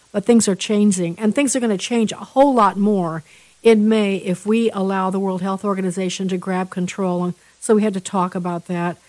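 A woman hosting an interview show, chatting with her guest in a halting way, full of restarts. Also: medium pitch, darkish tone, no echo. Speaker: interview host.

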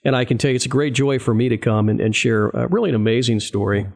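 Emphatic male radio guest, gravelly, preacher-like delivery. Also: medium pitch, quick pace, darkish tone. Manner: emphatic, preacher-like, repeats "right?" and "I'm telling you". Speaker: ministry guest on a radio show. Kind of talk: animated storytelling testimony.

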